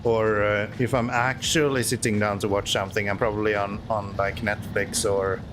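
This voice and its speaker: Swedish guy streaming, kinda low voice, sarcastic jokey chat, engine noise from the game under it. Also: level tone, normal speed. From Sweden. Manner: joking, self-mocking, sarcastic. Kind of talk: casual chat while playing.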